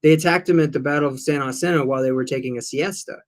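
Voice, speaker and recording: Male co-host, pitched a bit high, casual storyteller. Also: racing. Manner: chatty storyteller, animated retelling. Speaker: livestream co-host. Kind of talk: casual group chat.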